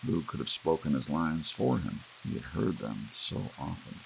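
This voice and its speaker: A man narrates a story in an extremely low, creaky voice, hushed in an ASMR manner for bedtime listening. Soft rain and hiss run steadily behind him. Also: big pitch swings, moderate pace, low-quality recording. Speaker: audiobook narrator.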